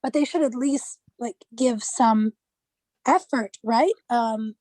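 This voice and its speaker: Woman, high voice, close dry sound, casual discussion, questioning, argumentative back-and-forth. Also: slow pace, darkish tone, no room sound. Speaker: participant in a film class group meeting.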